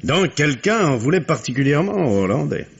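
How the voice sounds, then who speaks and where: An actor playing an insistent investigator in a 1950s radio drama, questioning a witness in a coaxing way. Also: male voice, on the high side, very animated, a little fast, vocal fry, husky, lo-fi sound, exaggerated voice. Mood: probing. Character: probing male investigator Monsieur Bourret, pressing a witness. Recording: old radio recording with faint hiss.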